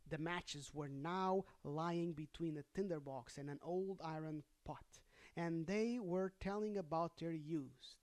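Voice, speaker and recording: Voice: mid-range voice, a little slow, darkish tone. A storyteller reading a fairy tale aloud, breaking off for casual, wry and chatty comments. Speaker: storybook reader and host.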